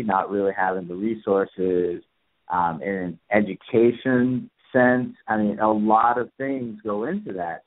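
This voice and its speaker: Low-voiced man co-hosting radio talk. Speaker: radio show co-host. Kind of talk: casual call-in radio talk. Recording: narrow phone-like sound.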